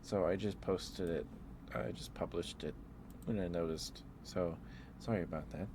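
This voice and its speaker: Male ASMR streamer, lower register, gentle drowsy casual delivery, close mic with clear background noise. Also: moderate pace. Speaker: live streamer. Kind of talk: rambling ASMR livestream chat.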